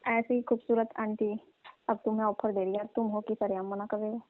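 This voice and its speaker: A woman's voice: medium pitch, little pitch movement, a little fast, dry recording, natural voice. A woman playing a flirtatious, pushy village aunt who coaxes and teases a younger repairman in a comic phone skit. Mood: flirtatious, coaxing. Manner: coaxing, teasing, colloquial. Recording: tinny, dry phone-call recording.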